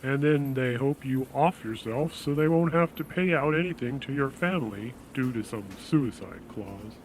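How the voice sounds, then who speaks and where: Radio drama salesman, played by a man: medium-pitched male, courteous and persuasive, quietly reassuring about grim business, some noise behind. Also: close to an everyday voice, low-quality recording. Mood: ominously reassuring. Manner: measured, persuasive, politely solicitous. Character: smooth-talking, reassuring male death insurance salesman. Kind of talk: audio drama dialogue.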